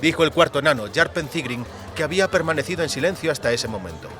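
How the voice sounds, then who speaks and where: A man narrates a fantasy novel as an audiobook. His voice sits a little higher than average, reading scripted prose with soft music behind it. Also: expressive, fast, some chatter behind.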